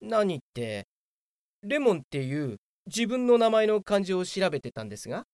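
A woman's voice: medium pitch, big pitch swings, normal speed, darkish tone, no echo. A woman playing a hapless, grumbling veteran male office worker who narrates his own comic audio drama in a whiny, self-important way. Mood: flustered. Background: prominent background music.

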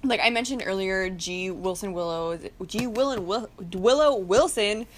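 A woman's voice: medium pitch, normal speed, slight background noise. A tipsy woman co-hosting a casual podcast chat, giggly and rambling, with "like" peppered through her sentences. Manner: giggly, rambling, heavy use of "like". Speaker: podcast co-host.

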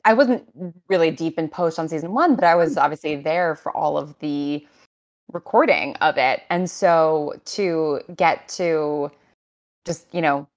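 A woman's voice: low-pitched, a little slow. A woman who writes drama, speaking enthusiastically and articulately on a discussion panel.

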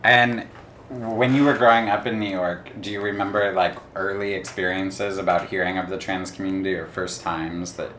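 A man conducting an oral history interview, asking questions in a soft-spoken, casual way from an office. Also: deep voice, fairly even intonation, normal speed, a little hiss or hum. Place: office indoors. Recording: roomy echo.

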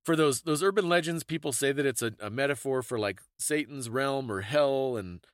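Guy podcast host chatting and explaining stuff, lowish-normal voice, dry close sound. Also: moderate pace, smooth, dry recording. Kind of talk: casual explanatory podcast conversation.

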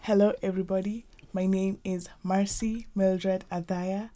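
A woman's voice: medium pitch, flat delivery, measured pace, mellow. A woman from Kenya, a college student, introducing herself in a formal, careful way.

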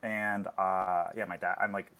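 A man's voice: low voice, little pitch movement, a little slow, pushed and bright, clear, resonant tone. A young man in his twenties with a Canadian accent, chatting as a podcast guest, full of "like" fillers and laughter. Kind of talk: casual chat.

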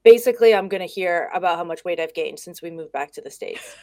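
A woman's voice: on the low side, quick pace, darkish tone, no room sound. A woman speaking as a guest expert on a video call, casual, candid and chatty. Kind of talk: casual conversation.

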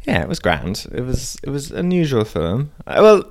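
A man with a cold, co-hosting a casual podcast, hesitant and stumbling over his words, a bit croaky. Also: medium pitch, wildly swooping pitch, normal speed. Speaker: podcast co-host. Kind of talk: casual chat.

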